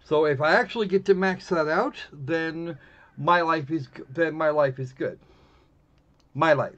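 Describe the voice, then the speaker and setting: A man's voice: fairly high pitch, unhurried, fairly bright tone. A man hosting a live game stream with casual, snarky, rambling commentary. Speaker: livestream host playing games. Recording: muffled, dull band-limited sound. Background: faint game music.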